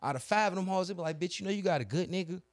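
A man's voice: high-pitched, rapid-fire, no echo. A young man telling a long, profane story in casual podcast chat, acting out both sides of the arguments. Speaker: podcast co-host.

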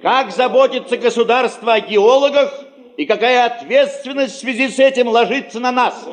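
An actor playing an emphatic, scolding official who makes a speech to a meeting in a radio drama. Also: male voice, extremely high pitch, moderate pace. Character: male official haranguing a rowdy meeting of geologists. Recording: echoey hall with crowd noise.

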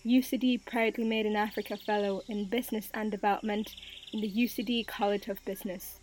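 A woman's voice: mid-range voice, steady tone, a little fast, a little mellow, slight background noise. A woman hosting a podcast interview, introducing her guest and asking questions in a polite, formal way. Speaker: podcast host.

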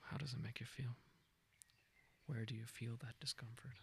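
A man leading a guided meditation, speaking gently and informally in an unhurried, intimate way. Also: mid-range voice, little pitch movement, vocal fry, slight background noise. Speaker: meditation guide, podcast host.

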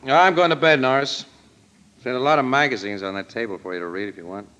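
An actor playing an easygoing, slangy American crime photographer with a wry, breezy manner in an old radio drama. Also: male voice, medium pitch, fairly even intonation, fast, bright, forceful tone, lo-fi sound, slight background noise, close to an everyday voice. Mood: wry, casual.

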